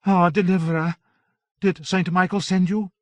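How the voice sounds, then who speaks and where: A tiny, zealous young maiden who speaks of holy battles in high, ringing tones, as a male narrator puts her on. The delivery is fervent and declaiming. The sound is dry and close. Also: level tone, moderate pace, dry recording, natural voice. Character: Joan of Arc as a tiny zealous young woman. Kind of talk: audiobook character voice.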